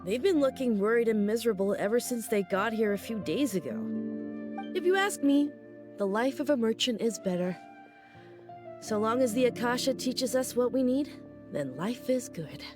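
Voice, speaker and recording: A woman's voice: on the high side, expressive, normal speed, music in the background, close to an everyday voice. An actress playing a friendly, talkative woman merchant at a busy port in a game cutscene. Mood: chatty. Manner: welcoming, talkative.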